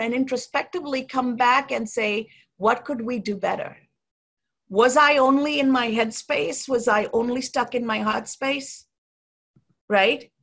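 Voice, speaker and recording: A woman with a Sri Lankan accent, speaking as a podcast host. Her voice is a little high and has a gravelly edge. She explains things patiently and keeps asking the listener "right?". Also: fairly bright tone. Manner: explanatory, frequently adds "right?". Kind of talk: conversational podcast discussion.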